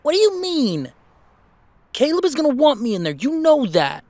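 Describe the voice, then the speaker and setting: A man's voice: extremely high pitch, wildly swooping pitch, moderate pace, darkish tone, no room sound, voice pushed up, heavily acted. A man voicing an eager, anxious young male werewolf who pleads insistently in an audio drama. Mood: anxious, pleading.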